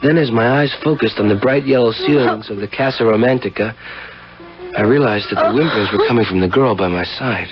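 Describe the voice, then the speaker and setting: A man's voice: lowish voice, fairly quick, close to an everyday voice. An American actor playing a selfish, easygoing, hip man in a 1970s radio drama, talking in casual slang. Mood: brooding narration. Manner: slangy, casual hipster talk. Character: selfish, hip, easygoing man, a classic-car fanatic lover. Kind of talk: 1970s radio drama acting with first-person narration. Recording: muffled vintage radio recording, loud music behind.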